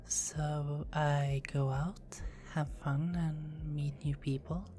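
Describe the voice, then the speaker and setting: A woman playing a low, whispering teasing vampire. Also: flat delivery, slow pace. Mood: wistful. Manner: soft-spoken, often whispering, playful teasing. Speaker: performer of own script. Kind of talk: ASMR roleplay.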